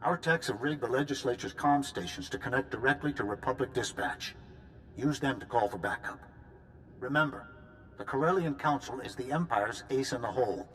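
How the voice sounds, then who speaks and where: A man's voice: medium pitch, normal speed, fairly bright tone, slight background noise, lightly acted. A man playing a resolute general in a video game, giving an authoritative, businesslike military briefing. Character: resolute male general briefing troops for war.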